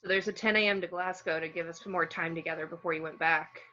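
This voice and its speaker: A woman's voice: on the low side, steady tone, quick pace, bright, forceful tone, dull audio. A woman playing a tender, worried young superheroine, earnest in delivery, in an amateur film table read.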